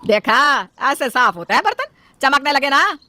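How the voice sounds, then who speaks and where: Bright, scolding mother-in-law acted by a woman. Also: high-pitched, sing-song, unhurried, no echo, pitched above the performer's own voice, full character voice. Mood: critical. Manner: scolding, demanding. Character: bossy, fault-finding, stingy middle-aged Indian mother-in-law.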